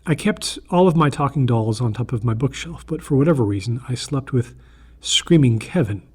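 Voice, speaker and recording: A man reading a scripted first-person horror story in a deliberate, earnest manner, with a slightly husky voice. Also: mid-range voice, lively intonation, a little mellow.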